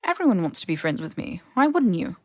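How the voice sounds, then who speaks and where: A woman's voice: lowish voice, sing-song, brisk, no echo, studio quality. A woman voicing a stubborn, teasing young male wizard in his twenties, casual and cheeky, for an audiobook. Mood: cocky. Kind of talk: audiobook character voice.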